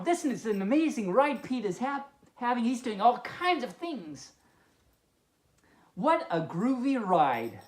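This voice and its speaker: A woman hosting a children's story time as a friendly pup, reading expressively and adding playful, amused asides. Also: medium pitch. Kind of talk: expressive storybook read-aloud with chatty asides. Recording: roomy, echoing indoor sound.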